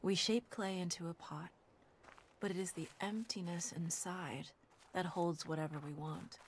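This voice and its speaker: A woman narrating as a calm reader of ancient wisdom, speaking deliberately in a scripted game audio log. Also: fairly low pitch, slight background noise, lightly acted. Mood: calm, reflective. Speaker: narrator of recorded quotation in a game.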